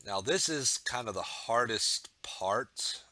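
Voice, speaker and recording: A man's voice: medium pitch, animated, slow pace, sharp tone, dry recording. A man talking through his building project while working, rambling and thinking aloud with many fillers, getting over a sore throat and a bit of a cold. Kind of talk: casual build commentary.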